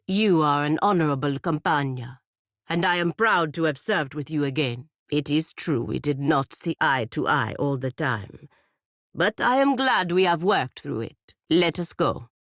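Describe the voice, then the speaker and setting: Proud fantasy warrior woman, stern patriot. Low, dry-recorded voice, measured and formal game dialogue. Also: animated, fairly bright tone, no echo, heavily acted. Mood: formal, reserved. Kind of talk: scripted video game character dialogue.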